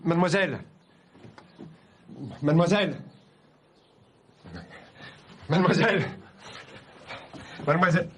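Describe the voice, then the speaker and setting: An actor playing an earnest, polite academic who is hesitant and easily rattled, in naturalistic film style. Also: male voice, fairly high pitch, brisk, darkish tone, a little hiss or hum, close to an everyday voice. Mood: flustered, explaining. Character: earnest, flustered academic man working among police. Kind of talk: naturalistic French film acting.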